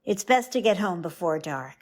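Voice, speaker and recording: A woman playing a brisk, independent, prickly guest in an audio drama, with a dry, clipped manner. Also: lowish voice, expressive. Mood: curt, offended.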